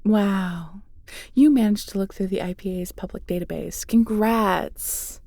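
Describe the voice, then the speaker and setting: A woman voicing a snarky, excitable young scientist in an audio roleplay, chatty and nerdy. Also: mid-range voice, a little slow, vocal fry, no room sound. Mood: sarcastic. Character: snarky, excitable young female scientist aboard a pirate ship. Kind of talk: audio roleplay acting addressed to the listener.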